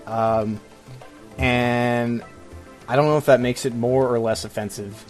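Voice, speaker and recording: A man's voice: mid-range voice, steady tone, a little slow, soft background music. A man co-hosting a podcast in casual, opinionated chat, speaking in a rambling, hesitant way full of filler "like"s. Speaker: podcast co-host.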